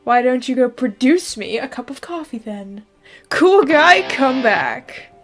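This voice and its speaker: A woman performing a comedic dub of a webcomic with a brisk, playful delivery and sudden loud outbursts. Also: high voice, big pitch swings, faint music behind. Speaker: fan dubber voicing a webcomic. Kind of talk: comedic comic dub, quick skits.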